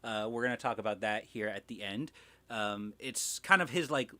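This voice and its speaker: A man's voice: lowish voice, moderate pace, sharp tone. A man hosting a livestream in casual chat, rambling and self-deprecating, with some swearing. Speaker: livestream host.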